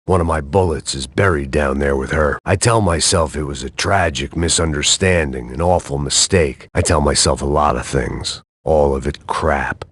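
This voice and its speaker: An actor voicing a jaded, self-loathing middle-aged antihero in weary, sardonic, deadpan video game noir narration, with a gravelly voice. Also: male voice, rumbling low, moderate pace, few pauses, no room sound, studio quality, exaggerated voice. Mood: regretful, bitter. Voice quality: gravelly, husky.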